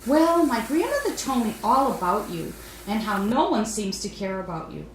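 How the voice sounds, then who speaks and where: A woman's voice: on the high side, very animated, normal speed. A woman voicing a caring, eager little girl in a children's storybook, earnest and emphatic. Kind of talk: children's storybook read-aloud. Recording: roomy echo, faint background noise.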